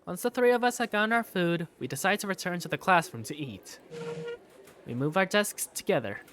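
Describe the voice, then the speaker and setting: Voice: mid-range voice, expressive, brisk, a bit bright, soft background music, close to an everyday voice. A performer voicing an awkward, easily flustered male student who narrates his school day in a hesitant, wry first-person style. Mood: wry, uneasy. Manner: hesitant, wry inner monologue. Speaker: narrator and main character of a visual novel. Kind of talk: first-person visual novel narration and dialogue.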